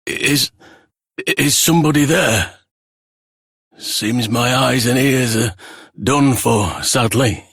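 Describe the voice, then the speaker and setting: A man voicing a weakened, dying man in a video game, raspy and halting as he struggles to speak. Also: mid-range voice, fairly bright tone, no room sound, clean studio sound, heavily acted. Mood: weak, urgent. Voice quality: raspy, creaky. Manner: faltering, halting, weak. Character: dying, half-blind man gasping out a last message. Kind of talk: scripted video game drama dialogue.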